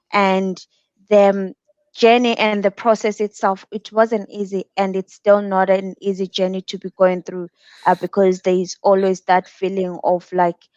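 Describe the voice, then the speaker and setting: Young adult woman from Zimbabwe, guest speaker; earnest, measured delivery; dry, muffled lo-fi audio. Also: mid-range voice, darkish tone, clean tone, no room sound. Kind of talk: reflective online talk. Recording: dull, dry lo-fi sound.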